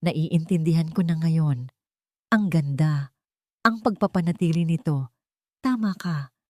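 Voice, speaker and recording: A woman's voice: low-pitched, measured pace, a little mellow, dry recording, clean studio sound. A woman voicing a captive bride who feigns broken, adoring surrender in an audio story, weak and submissive. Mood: meek, apologetic. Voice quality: cracked, weak. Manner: submissive, pleading.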